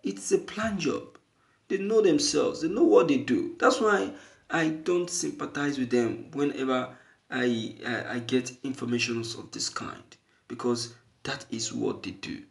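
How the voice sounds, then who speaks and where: A man giving opinionated news commentary as an online commentator, halting and deliberate, repeating phrases emphatically for stress. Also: mid-range voice, creaky.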